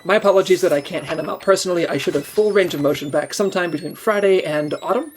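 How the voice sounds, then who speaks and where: A man's voice: high voice, quick pace, a little hiss or hum, lightly acted. A man playing an earnest special agent in an audio comedy, eager and defensive as he strains to sound fine. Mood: earnest briefing. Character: eager, strained male special agent hiding his soreness.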